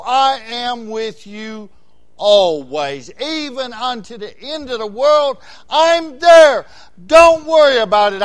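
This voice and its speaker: A man in his sixties delivers a Baptist sermon in a notably high voice. He drops into quiet, conversational asides, then surges into loud, emphatic calls. His phrases pile up in rhythmic repetitions. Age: 60s. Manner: preaching with big swings between quiet asides and loud shouted emphasis; repeats phrases in rhythmic runs. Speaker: Baptist pastor.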